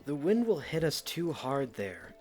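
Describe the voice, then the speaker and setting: A man's voice: on the high side, sing-song, unhurried, darkish tone, faint music behind. A man playing an earnest, practical young knight in an audio drama. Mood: serious, cautious. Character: young male knight, earnest, cautious, playfully curious. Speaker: voice actor.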